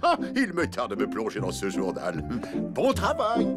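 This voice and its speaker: A man's voice: lowish voice, huge pitch swings, a little slow, loud music behind the voice. A man voicing a pompous, approving school headmaster in a French cartoon, with a formal, florid manner.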